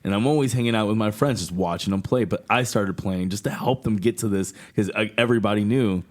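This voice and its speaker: Guy hosting a podcast, slangy and excited, chatting like a big fan, laughs a lot. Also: lowish voice, quick pace. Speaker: podcast host. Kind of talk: casual interview chat.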